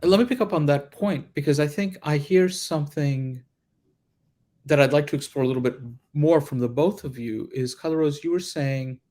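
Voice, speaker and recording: A man moderating a panel discussion, thinking aloud in reflective, articulate and deliberate phrases. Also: mid-range voice, a little mellow. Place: live panel discussion at a festival.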